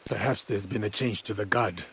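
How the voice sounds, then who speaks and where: A man playing a calm, honourable samurai warrior in a video game, speaking in a measured, formal and gentle way. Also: lowish voice, slight background noise. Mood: steady, resolute. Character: calm, grave, honourable male samurai warrior, mentor to a boy. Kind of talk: video game cutscene acting.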